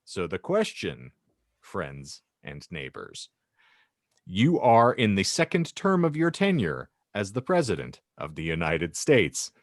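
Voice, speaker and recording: A man's voice: deep voice, huge pitch swings, slow pace, dry recording, studio quality. A man co-hosting a podcast in a wry, playful way, moving between casual chat and reading aloud with theatrical phrasing. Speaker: podcast co-host.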